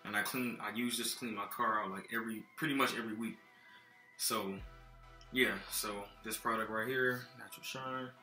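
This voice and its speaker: Young man, bright-toned, lowish voice, laid-back filler-heavy rundown, echoey apartment room, faint music. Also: fairly even intonation, normal speed. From Texas. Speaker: vlogger reviewing car care products. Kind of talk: casual product rundown. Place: dim apartment living room, indoors. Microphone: laptop built-in mic.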